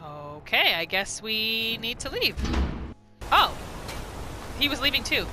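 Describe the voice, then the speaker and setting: A woman giving casual, expressive gameplay commentary as a roleplay host. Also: a little high, takes it slowly, over music. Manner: expressive, exclamatory.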